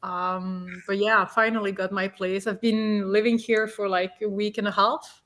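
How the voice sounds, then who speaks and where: A woman's voice: medium pitch, flat delivery, normal speed, fairly bright tone. A woman talking casually as a co-host on a livestream, sharing everyday details with friends. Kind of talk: casual chat.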